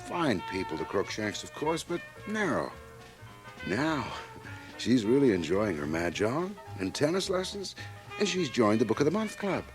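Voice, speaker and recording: Brash rising businessman, played by a male actor: hearty, self-satisfied, lively swooping intonation, old radio drama with music. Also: medium pitch, normal speed, natural voice, rough recording. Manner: boastful, hearty, opinionated. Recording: 1980 radio drama cassette transfer.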